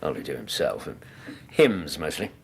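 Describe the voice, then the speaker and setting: An actor playing a hearty, loyal Victorian ex-army gentleman with an English accent, bluff and old-fashioned in a British radio drama. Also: a bass voice, sing-song, normal speed, creaky, a little hiss or hum, exaggerated voice. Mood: chatty, amused.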